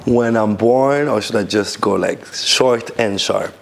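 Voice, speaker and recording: Man, low voice, gravelly, rambling restarts, casual interview. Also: unhurried, slight background noise. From Senegal, raised in France. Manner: loose, searching phrasing with many restarts. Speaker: dancer, DJ and event founder.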